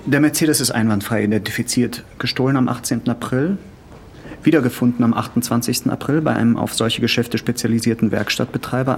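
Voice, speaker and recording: An actor playing a dry, factual police detective reporting findings in a radio drama. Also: male voice, mid-range voice, slight background noise, natural voice.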